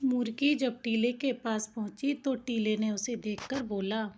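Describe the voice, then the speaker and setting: A woman's voice: a little high, fairly even intonation, measured pace, darkish tone, a little hiss or hum. A woman narrating a children's folk tale as a scripted story.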